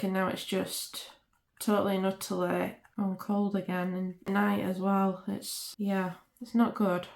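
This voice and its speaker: A woman with a slightly croaky voice, chatting casually and muttering to herself as she works at her craft desk. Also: mid-range voice, darkish tone. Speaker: resin craft channel host. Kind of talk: casual crafting vlog, thinking aloud. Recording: roomy echo.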